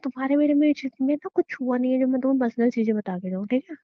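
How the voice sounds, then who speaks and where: A woman's voice: high-pitched, racing, no echo, narrow, tinny audio, natural voice. A woman acting as a defiant, demanding young wife in a conversational audio drama, arguing in a stubborn, taunting way. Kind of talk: acted Hindi relationship audio drama, conversational.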